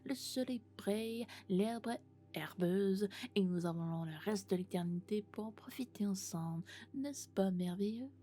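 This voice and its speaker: Woman as a soft whispery friendly robot companion. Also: mid-range voice, unhurried, close to an everyday voice. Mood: gentle, cheerful. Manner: gentle, reassuring, alternating soft speech and whispers. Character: friendly, doting female robot caring for a human. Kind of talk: French ASMR roleplay, soft-spoken and whispered.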